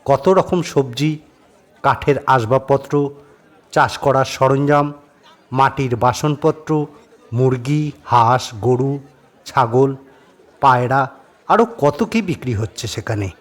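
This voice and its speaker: A man's voice: medium pitch, slow, pauses often. A man narrating a scripted suspense story as a dramatic audio storyteller. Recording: clearly noisy background.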